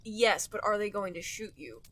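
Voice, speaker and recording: A woman's voice: mid-range voice, lively intonation, normal speed, bright, forceful tone. A woman co-hosting a comedy podcast in casual, joking conversation. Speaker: podcast co-host.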